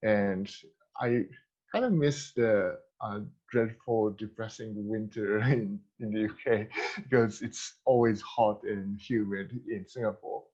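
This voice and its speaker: A man's voice: mid-range voice, darkish tone, muffled recording. Japanese man with a blended accent. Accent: mixed Japanese, American and British. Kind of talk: prepared talk.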